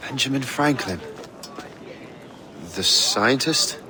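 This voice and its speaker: An actor playing a conflicted, resolute young assassin turned hunter in a dramatic video game. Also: male voice, mid-range voice, expressive, slow pace, background noise. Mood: curious, concerned. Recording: loud music behind.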